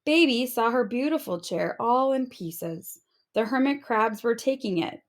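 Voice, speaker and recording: A woman narrating a children's storybook aloud in an expressive storytelling manner. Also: a little high, unhurried. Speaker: storybook reader.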